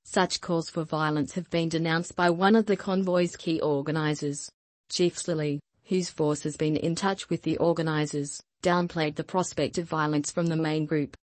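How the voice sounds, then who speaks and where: Woman, news voice-over, low pitch, monotone, dry close recording. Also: normal speed, no room sound. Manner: even, flat newsreader delivery. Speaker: news reader. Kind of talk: scripted news narration.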